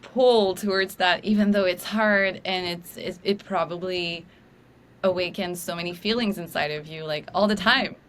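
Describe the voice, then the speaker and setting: French-accented woman podcast host, low-pitched. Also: steady tone, moderate pace. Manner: warm, hesitant, searching for words. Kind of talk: casual conversational interview.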